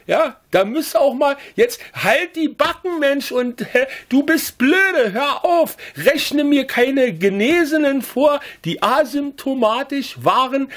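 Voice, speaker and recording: Man, Berlin accent, rambling rant, chuckling, swooping animated pitch. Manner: chuckling, frequent tag questions and filler hesitations. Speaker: critic.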